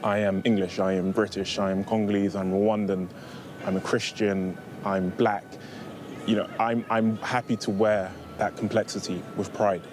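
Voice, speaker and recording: Man, deep, level, measured statements, music behind. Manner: steady, declarative. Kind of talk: interview statement.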